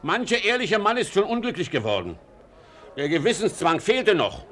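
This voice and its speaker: A high-pitched male voice plays a cautious burgher in an old radio drama. He complains theatrically, with wide, animated swings in intonation, always fretting and wary. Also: normal speed. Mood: wary, aggrieved. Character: cautious, grumbling Flemish townsman worried about the Inquisition. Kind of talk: 1940s German radio play acting. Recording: vintage 1949 radio drama recording.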